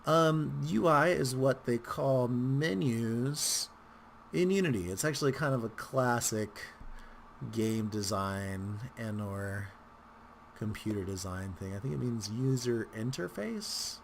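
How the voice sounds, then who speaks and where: A man hosting a casual software tutorial, talking in a rambling, informal way with wry asides. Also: medium pitch, slow, vocal fry, a little hiss or hum. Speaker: tutorial host.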